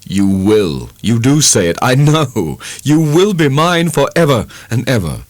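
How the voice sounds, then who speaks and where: A man voicing an ardent, tender young gentleman suitor in a dramatic audiobook, coaxing and affectionate. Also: medium pitch, very animated, a little slow, no room sound, pitched above the performer's own voice.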